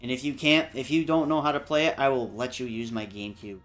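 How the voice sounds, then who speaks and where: A man with a mid-pitched voice talks casually on a livestream round table, peppering his talk with swearing. Faint music plays behind him. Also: steady tone, fast talker, fairly bright tone. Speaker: panelist in a video game discussion.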